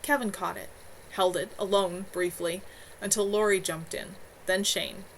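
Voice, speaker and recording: A woman's voice: mid-range voice, steady tone, a little slow, slight background noise, poor audio. A woman author reading her own novel aloud live from home, earnest, with a few small stumbles.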